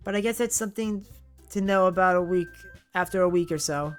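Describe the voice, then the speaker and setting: A man's voice: high voice, a little fast, faint music behind, close to an everyday voice. A man voicing a friendly, polite teenage schoolgirl who is class representative in a game, with a raised voice and some stumbles.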